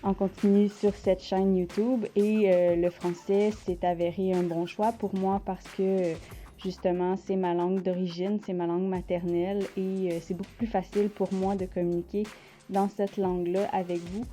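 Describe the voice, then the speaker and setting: A woman's voice: medium pitch, little pitch movement, normal speed, mellow, faint music behind. A woman from Quebec with a Quebec French accent, hosting her art channel in casual, unscripted talk and often hesitating as she searches for words. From Quebec, Canada.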